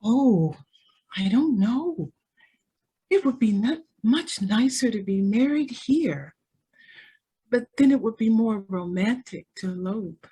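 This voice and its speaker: A woman's voice: on the high side, lively intonation, takes it slowly, warm tone, husky, exaggerated voice, low-quality recording. A woman playing a spoiled, naively callous young heiress in an old-time radio style drama, girlish and breezy in manner. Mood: coy, airy. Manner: breezy, girlish, petulant when crossed.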